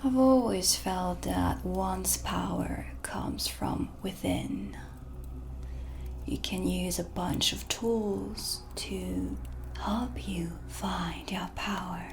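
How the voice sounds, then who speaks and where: A woman playing a proud, mysterious dark witch in a whispered cinematic ASMR roleplay, with a breathy, hushed, deliberate delivery. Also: on the low side, a little hiss or hum, heavily acted. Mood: reflective, assured. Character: self-assured dark witch, mysterious and proud, in her shop. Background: music, dark ambience.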